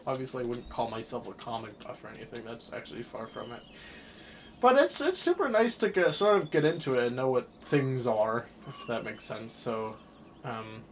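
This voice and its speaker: A twenty-year-old man streaming a game and chatting casually, rambling through his thoughts with sudden bursts of excitement. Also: mid-range voice, slight background noise. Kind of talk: casual chat while livestreaming a game.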